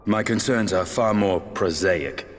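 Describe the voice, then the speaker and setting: An actor playing an aloof, gruff lancer in a fantasy game cutscene, speaking tersely in formal, archaic phrasing. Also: male voice, low-pitched, a little slow. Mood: grave, matter-of-fact. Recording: long reverb, music behind.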